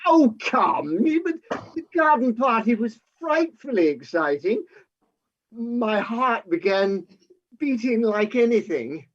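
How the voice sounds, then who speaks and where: Guy doing nice mild old-fashioned gent in a play reading, really slow n hesitant, polite. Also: extremely high pitch, very animated, dull audio, full character voice. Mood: genial, weary. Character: genial, mild-mannered gentleman, the professor's companion. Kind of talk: theatrical staged play reading.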